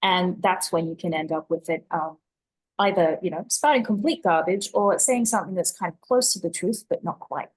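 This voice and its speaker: A woman speaking as an expert panelist, explaining things off the cuff in a measured, articulate way. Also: lowish voice, slightly rough, rough recording. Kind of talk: spontaneous explanation on a conference panel.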